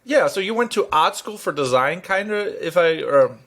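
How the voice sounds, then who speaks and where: A man hosting a podcast, asking questions in a lively way and laughing often. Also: a little high, normal speed. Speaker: podcast host. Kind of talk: casual podcast interviewing.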